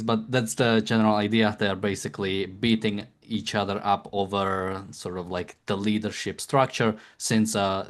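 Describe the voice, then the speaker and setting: A male podcast co-host with a somewhat low voice talks through a political story in a casual way. He cracks jokes as he goes and often stumbles, with fillers and restarts. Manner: joking, halting, many fillers and restarts.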